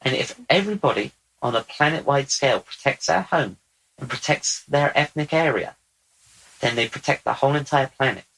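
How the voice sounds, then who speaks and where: A man speaking as a channeled otherworldly male being, slow, deliberate and repetitive. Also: medium pitch, lively intonation, vocal fry, a bit bright, low-quality recording. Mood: earnest. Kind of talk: channeled spiritual talk.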